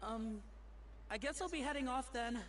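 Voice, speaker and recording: A woman's voice: a little high, normal speed, a little hiss or hum, lightly acted. A woman voicing a meek, overworked young boy helping in a kitchen in a video game, timid and hesitant. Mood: meek, nervous. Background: background music.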